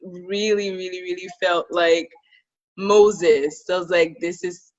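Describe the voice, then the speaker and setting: A woman's voice: lowish voice, fairly even intonation, a little slow, muffled recording. A woman from Cameroon, telling her own story as an interview guest and laughing easily. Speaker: podcast guest.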